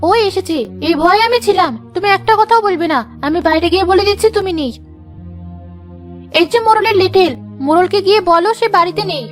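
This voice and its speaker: A woman's voice: very high voice, fairly quick, faint music behind. A woman playing a bossy, nagging, hard-hearted village wife in a cartoon, scolding as she talks. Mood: nagging, irritated. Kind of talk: Bengali cartoon voice acting.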